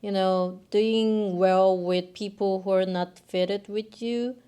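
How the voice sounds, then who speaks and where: A young woman from Korea, reacting to clips as a student in a thoughtful, hesitant way, trailing off with soft laughs. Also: mid-range voice, steady tone, slow pace, clean tone. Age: young adult. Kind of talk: casual reaction chat.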